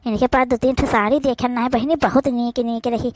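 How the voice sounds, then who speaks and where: A woman's voice: on the high side, steady tone, brisk, a little mellow, close to an everyday voice. A woman with an Awadhi accent voicing a meddling, scheming young sister-in-law in a village cartoon, gossipy and insistent. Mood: sly, scheming.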